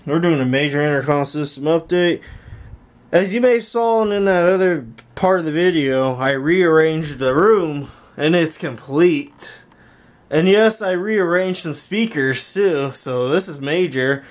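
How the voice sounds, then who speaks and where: A man's voice: on the high side, expressive, measured pace. A man hosting a hobby tech vlog, casually narrating a tour from behind a handheld camera in a small room at home. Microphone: handheld camera held by the speaker.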